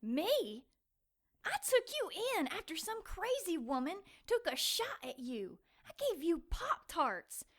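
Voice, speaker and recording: An actress playing an excitable, sassy young woman in an audio drama, sarcastic and quick to take offense. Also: very high-pitched, full character voice. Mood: indignant. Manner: sarcastic, feisty, fast-reacting.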